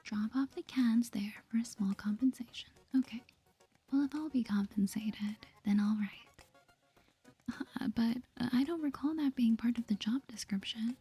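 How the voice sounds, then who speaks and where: A woman softly whispering an ASMR game playthrough in a gentle, playful way, reading dialogue aloud and thinking out loud. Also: a little high, moderate pace, faint music behind. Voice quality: breathy, mostly whispered. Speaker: gaming video host.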